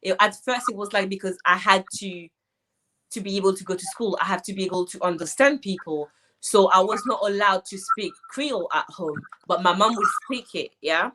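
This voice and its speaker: A young Haitian woman with a Haitian French accent, a guest talking through questions in a casual, measured way and laughing easily. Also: mid-range voice, bright, forceful tone, poor audio. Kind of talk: casual interview chat. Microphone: laptop live stream.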